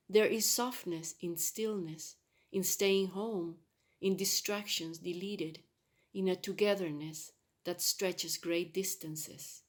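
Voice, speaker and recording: A woman giving a solemn reading of a poem. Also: on the low side. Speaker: poetry reader. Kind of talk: solemn spoken poetry reading.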